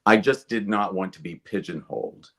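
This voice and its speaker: A man from Kentucky with an American accent, talking casually and thoughtfully as an interview guest in a measured way. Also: low-pitched, a bit bright, slightly rough.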